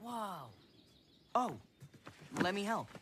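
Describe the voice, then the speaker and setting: An actor voicing an enthusiastic, helpful adolescent boy in an animated film, eager and upbeat over music. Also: mid-range voice, drawn-out words, background noise. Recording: music under the dialogue.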